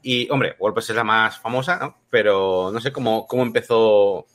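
A man's voice: fairly low pitch, normal speed, a bit bright. Rambling man asking loose questions. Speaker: podcast co-host. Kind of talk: casual interview.

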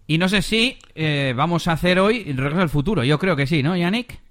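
A man, co-hosting a casual tech podcast, explaining things in a relaxed way with rhetorical questions. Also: a little high, brisk, no room sound. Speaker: podcast co-host.

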